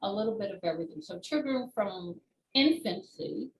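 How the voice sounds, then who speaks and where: An older woman, in her sixties or beyond, answering interview questions as an author and former teacher in a measured, explanatory way with pauses between short phrases. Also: medium pitch, expressive. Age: 60s or older. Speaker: children's book author and retired teacher being interviewed. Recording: roomy echo with clear background noise.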